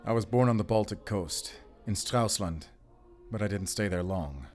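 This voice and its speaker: A haunted werewolf hunter, played by a man in an audio drama. His voice sits low, and he speaks tersely and solemnly, guarded and defiant. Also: moderate pace, darkish tone, soft background music, lightly acted. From Germany. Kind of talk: cinematic audio roleplay acting.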